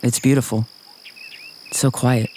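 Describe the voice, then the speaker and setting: Friendly, awkward guy played by a man: gentle, hesitant, naturalistic drama, mid-pitched, soft outdoor background. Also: level tone, measured pace, slight background noise, lightly acted. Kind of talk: naturalistic audio drama acting. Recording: faint outdoor ambience with insects.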